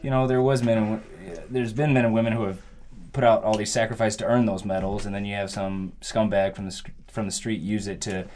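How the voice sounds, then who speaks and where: Young ex-marine man, very low voice, rapid blunt chat. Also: fairly even intonation, a little mellow, a little hiss or hum. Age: 20s. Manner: blunt, swears when annoyed. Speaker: guest, former recon marine. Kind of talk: casual chat over drinks.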